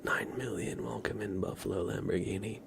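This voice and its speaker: Male ASMR streamer: deep, fry-laced, soft whispery delivery, relaxed chat to viewers. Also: a little fast, noisy background. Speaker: ASMR livestreamer. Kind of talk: ASMR, chatting softly with live chat.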